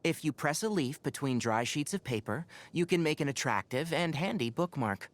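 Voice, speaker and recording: An actor playing a young fox-eared forest ranger in a video game, scholarly and patient, speaking in an articulate, instructive and gently wry way. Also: male voice, on the high side, animated, moderate pace, lightly acted. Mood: calm, instructive. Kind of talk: scripted game character voice lines.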